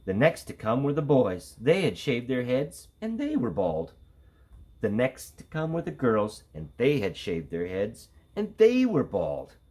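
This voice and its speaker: Male children's storyteller: very animated, dramatic, husky tone, mid pitch. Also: measured pace. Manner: theatrical, dramatic emphasis. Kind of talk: animated children's read-aloud.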